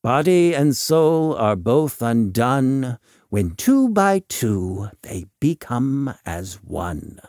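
A man's voice: medium pitch, sing-song, drawn-out words, a little mellow, no room sound, studio quality, pitched above the performer's own voice, heavily acted. A man voicing an eccentric, coolly mocking doctor in an audiobook, with wordy, formal, theatrical delivery. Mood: wry, cautioning. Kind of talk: audiobook character dialogue.

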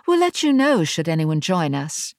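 A woman voicing a brisk, matter-of-fact tech specialist with an English accent, in an audiobook story. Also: on the low side, very animated, a little mellow, no echo, clean studio sound, pitched above the performer's own voice.

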